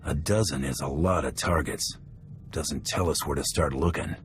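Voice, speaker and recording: An actor playing a gruff, cocky male bounty hunter champion in a video game, terse and dry. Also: moderate pace, slight background noise. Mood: dry, confident. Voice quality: very deep voice.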